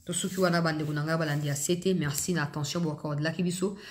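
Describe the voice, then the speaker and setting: A woman's voice: deep voice, steady tone, fast talker. A woman with a Congolese accent hosts political news commentary, addressing viewers directly in an emphatic, exhorting way, repeating phrases for stress and switching between two languages mid-sentence. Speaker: host of an online political news commentary show.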